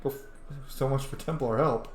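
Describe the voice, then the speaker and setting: A man's voice: medium pitch, normal speed, reverberant, faint music behind. A man giving casual, profane and joking commentary while playing a video game. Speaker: game streamer. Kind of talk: let's play commentary.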